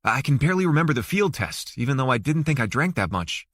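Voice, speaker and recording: A man's voice: mid-range voice, very animated, fast talker, fairly bright tone, dry recording, clean studio sound. A man voicing a cocky, evasive male lawyer in an audiobook, probing with hesitant starts. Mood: defensive. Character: Rafael Suarez, cocky evasive Cuban-American suspended lawyer.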